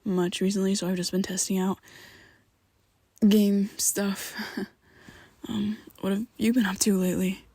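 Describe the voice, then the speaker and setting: A woman in an ASMR roleplay, talking to the listener as a worried best friend, halting and rambling, often sinking into a soft whisper close to the mic. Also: medium pitch, little pitch movement, husky, dry recording. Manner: hesitant and rambling, halting restarts.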